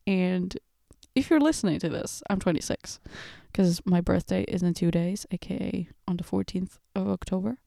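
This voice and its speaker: A woman's voice: lowish voice, normal speed, gravelly, warm tone, dry recording, clean studio sound. A woman in her twenties from Portugal, hosting a casual solo podcast, rambling and laughing at her own slips. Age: 20s. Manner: chatty, self-mocking, laughs at own slips. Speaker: podcast host. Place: home room with bookshelf.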